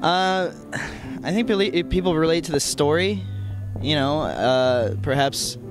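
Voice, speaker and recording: A man's voice: fairly high pitch, animated, measured pace, music in the background. A man, a band's drummer, chatting in an interview and tossing in dry, joking asides. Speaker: drummer of a rock band. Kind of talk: casual interview chat.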